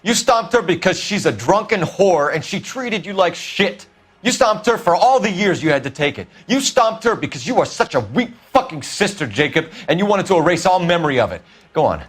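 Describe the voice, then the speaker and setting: An American actor playing a stern young 1940s police detective in a video game crime drama, speaking with clipped authority. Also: male voice, high-pitched, very animated, normal speed, slightly rough, exaggerated voice. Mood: furious accusation.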